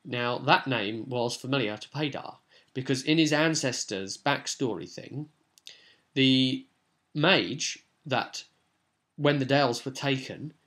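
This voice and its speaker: A man's voice: mid-range voice, slow pace, fairly bright tone. Man casually recapping a tabletop game. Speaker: tabletop role-play vlog host.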